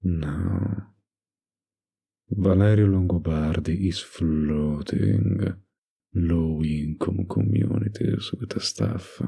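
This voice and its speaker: A man playing a smug, dominant mafia boss in an intimate ASMR roleplay, with a gravelly, husky voice and a suggestive, deliberate drawl. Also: low voice, fairly even intonation, dark tone, full character voice. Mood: smug, businesslike. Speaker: roleplay voice actor.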